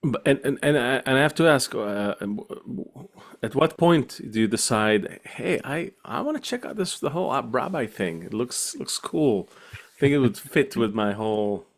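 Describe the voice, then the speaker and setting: A male host asks long, stammering questions in a lively voice that swings up and down. His pitch sits in the middle range. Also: normal speed. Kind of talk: interview questions.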